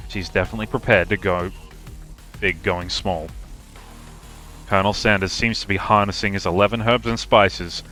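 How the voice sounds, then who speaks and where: A man's voice: fairly low pitch, sharp tone. A man narrating a game as a live streamer, reading aloud with casual, sweary reactions. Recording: faint music behind.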